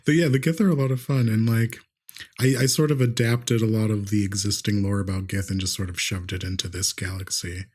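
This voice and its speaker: Husky-voiced man as game master. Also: lowish voice, fairly even intonation, brisk, a little mellow. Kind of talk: casual chat.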